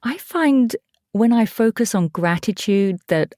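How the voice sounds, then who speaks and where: A woman's voice: medium pitch, expressive, unhurried, warm tone, no echo, clean studio sound. A middle-aged woman with an English accent, upbeat and reflective as a guest in a casual interview. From East Anglia, England. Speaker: podcast guest, voice actor.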